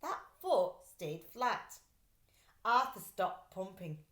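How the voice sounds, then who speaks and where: A woman reading a children's storybook aloud like a teacher, in an expressive, deliberate way. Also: medium pitch. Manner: animated, deliberate storytelling delivery. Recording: roomy, echoey sound.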